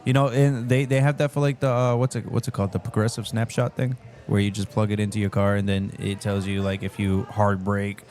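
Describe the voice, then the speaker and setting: A young man with a slightly low voice co-hosts a casual podcast. His speech is loose and slangy, full of fillers, and he keeps calling things wild or crazy. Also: flat delivery, fairly quick, hardly any pauses, a little mellow. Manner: laid-back slang, fillers, says bro and wild. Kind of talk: casual chat.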